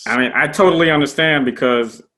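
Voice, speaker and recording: A man from the Gullah Geechee region hosting an interview, speaking in a relaxed way with many fillers. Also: a little high, fairly quick, rough recording. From Gullah Geechee region, US Southeast. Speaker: interview host. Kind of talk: interview conversation.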